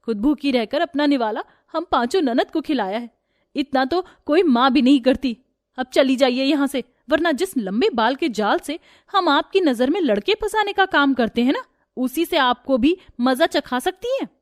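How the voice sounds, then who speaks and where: A woman's voice: high-pitched, animated, normal speed, pitched above the performer's own voice. A woman voicing a fierce, loyal young sister-in-law with a defiant manner in a family story.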